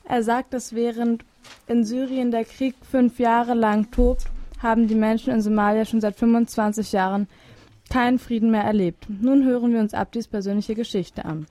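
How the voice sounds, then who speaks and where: A woman presents a studio program, introducing guests and asking them questions, with short, friendly responses in between. Her voice sits slightly high. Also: steady tone, moderate pace, dark tone. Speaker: radio host. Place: radio studio.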